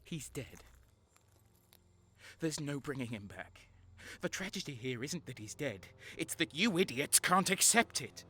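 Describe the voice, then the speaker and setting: A man's voice: on the high side, big pitch swings, unhurried, bright, forceful tone, slight background noise, exaggerated voice. A man playing a bitter, hardened traitor soldier, narrating an audio drama in the first person with a grim, brooding manner. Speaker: narrator and protagonist.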